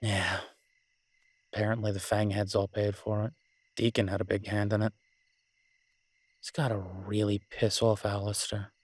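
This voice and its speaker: Romantic audio roleplay: werewolf boyfriend voiced by a man, husky and lowish, teasing yet devoted, conversational, very dry sound. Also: level tone, dry recording, close to an everyday voice. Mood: amused, gossipy. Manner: tender, teasing, halting conversational delivery. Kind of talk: romantic roleplay audio, intimate one-sided dialogue.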